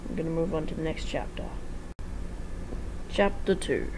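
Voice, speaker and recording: A woman's voice: sits low, normal speed, background noise. A woman giving an amateur dramatic reading of a fan-written story as its narrator.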